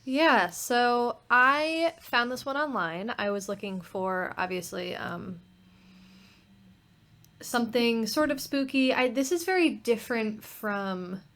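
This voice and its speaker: A woman's voice: on the high side, lively intonation, slow pace, fairly bright tone. A woman who co-hosts and directs radio plays, talking casually in a group discussion. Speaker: podcast co-host and radio play director. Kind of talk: casual round-table chat.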